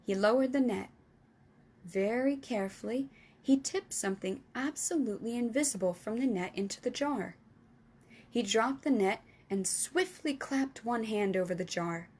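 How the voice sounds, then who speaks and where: A woman reading a children's book aloud, telling it slowly and carefully. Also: a little high, a little mellow, breathy edge. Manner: slow, careful storytelling. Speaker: storytime reader.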